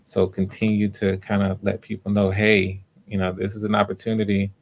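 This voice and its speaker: A man speaking casually as host and casting director of a livestreamed audition, in a low-key, halting way with repeated words. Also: completely flat delivery.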